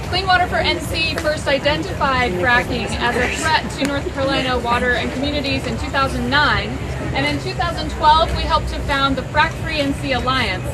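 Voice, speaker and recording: A woman hosting an outdoor press conference as an organizer, raising her voice with no sound system and using some fillers. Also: sits high. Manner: speaking up without amplification, friendly with fillers. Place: outdoors on a college campus, in a crowd. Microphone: recorder at a distance, no sound system. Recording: outdoor crowd and loud traffic noise.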